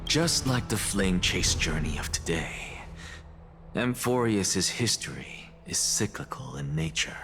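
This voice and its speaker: An actor playing a confident, scholarly alchemist in a video game, lecturing in a grand, self-assured way. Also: male voice, on the low side, very animated, a little slow, vocal fry, over music, full character voice. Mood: triumphant, measured. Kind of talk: scripted dramatic game dialogue.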